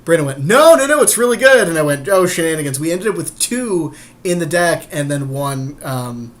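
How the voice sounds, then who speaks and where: Male podcast co-host, voice a bit high. Loose, unscripted, candid, sweary. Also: lively intonation, moderate pace, a bit bright. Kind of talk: casual, unscripted chat with a co-host.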